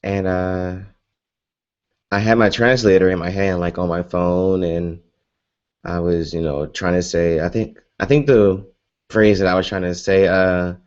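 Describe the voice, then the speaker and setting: A man's voice: sits low, fairly even intonation, measured pace, a little mellow, clean tone, lo-fi sound. A young American man chatting casually in a live Q&A vlog, rambling with many fillers and restarts. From United States. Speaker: vlogger.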